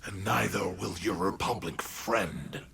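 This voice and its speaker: An actor playing a cruel, taunting Sith emperor in a video game, gravelly and menacing. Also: male voice, sits low, unhurried, sharp tone, slight background noise, heavily acted. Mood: goading. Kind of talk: dramatic video game villain acting.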